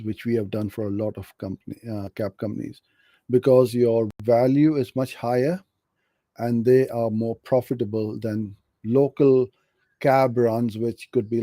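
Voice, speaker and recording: A man's voice: mid-range voice, mellow, no echo. A middle-aged man from Pakistan hosting a live question session, giving practical advice in a friendly, direct way. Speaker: host answering viewer questions. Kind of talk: live Q&A on online advertising.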